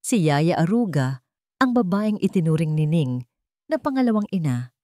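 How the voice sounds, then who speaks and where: A woman's voice: fairly low pitch, big pitch swings, moderate pace, mellow, dry recording, studio quality. A woman with a Filipino accent narrating a scripted dramatic story.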